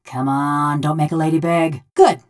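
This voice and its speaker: A woman's voice: sits low, fairly even intonation, moderate pace, a little mellow, natural voice. An actress playing a wry, blunt, vengeful woman killer whose soul lives in a bronze body, speaking in a folksy rustic drawl for a video game. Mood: plainspoken. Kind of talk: video game character dialogue.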